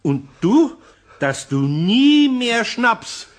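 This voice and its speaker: Flustered Bavarian craftsman, swooping high voice. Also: big pitch swings, extremely slow, heavily acted. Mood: soothing, flustered. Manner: fussing, exclaiming, repeats himself. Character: kindly, flustered older craftsman, worried about his kobold. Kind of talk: German radio play for children.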